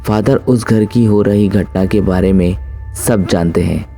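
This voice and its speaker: A man's voice: lowish voice, measured pace, mellow, faint music behind. A man narrating a scripted horror story.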